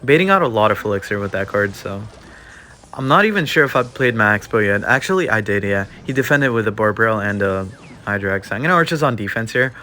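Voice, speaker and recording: Bright-voiced young man narrating his gameplay. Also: lowish voice, fast, slight background noise. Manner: relaxed, filler-heavy play-by-play with many "like" and "um". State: slightly losing his voice. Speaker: gaming youtuber. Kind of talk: casual live gameplay commentary.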